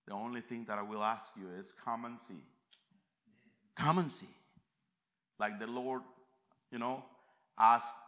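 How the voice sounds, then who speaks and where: A man from America, preaching a sermon in a church, emphatic and swinging between quiet reflection and loud fervent peaks. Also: lowish voice. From Central America. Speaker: visiting preacher.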